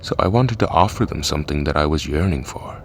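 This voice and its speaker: A man playing a calm, philosophical cult leader and grieving widower in an audio drama, speaking in a measured, eloquent way. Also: rumbling low, faint music behind. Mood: grave, confiding. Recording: clear background noise and ambience.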